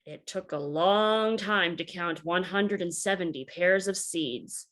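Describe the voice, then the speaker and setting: A woman reading aloud a story for children in a deliberate, expressive teacherly way. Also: lowish voice.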